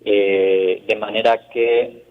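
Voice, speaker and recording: A man's voice: lowish voice, level tone, extremely slow. Spanish man on a tinny phone line. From Spain. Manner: hesitant, many fillers, explanatory. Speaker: urban mobility expert guest. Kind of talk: radio interview by phone. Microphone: telephone.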